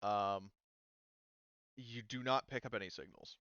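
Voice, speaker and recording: A man's voice: on the low side, steady tone, unhurried, a bit bright, dull audio, a little hiss or hum. A man acting as game master, narrating a tabletop game casually in a loose, conversational way.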